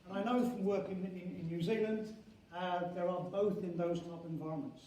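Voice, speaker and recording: An older man giving an academic conference lecture as a professor, halting and restarting phrases, with emphasis on key words. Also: sits high, fairly even intonation, dark tone. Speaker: university professor and head of geography school. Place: large echoing conference venue indoors. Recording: very echoey hall, distant mic, faint noise.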